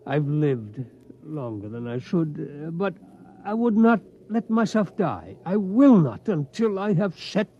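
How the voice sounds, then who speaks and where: Elderly determined magnate, slightly high voice, insistent, wistful dreamer, 1950s radio acting, dull old recording. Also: male voice, very animated, a little slow, dark tone, husky, full character voice. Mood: wistful, defiant. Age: older. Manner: reminiscing, insistent, tags sentences with "hmm?". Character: obsessed, determined old tycoon longing for the moon.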